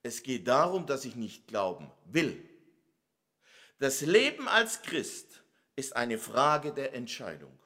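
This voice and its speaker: Slow-speaking, bright-voiced Swabian preacher. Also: male voice, medium pitch, sing-song. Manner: emphatic, asks the audience rhetorical questions. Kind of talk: sermon.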